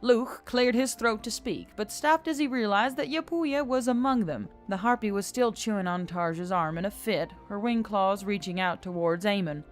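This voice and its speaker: Mid-pitched woman narrating an audiobook. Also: moderate pace, few pauses. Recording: music under the voice.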